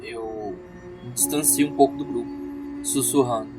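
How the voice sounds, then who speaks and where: A man's voice: mid-range voice, wildly swooping pitch, normal speed. A man playing a tabletop RPG, narrating his character in a dramatic style. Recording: music playing behind the voice. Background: music.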